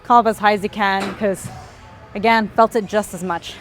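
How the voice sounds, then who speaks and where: A woman talks through a workout in a gym, stopping for strained sighs and exhales as she breathes a little hard. Her pitch sits in an ordinary middle range. Faint music plays in the background. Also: fairly even intonation, normal speed. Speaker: fitness vlogger. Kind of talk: casual workout instruction.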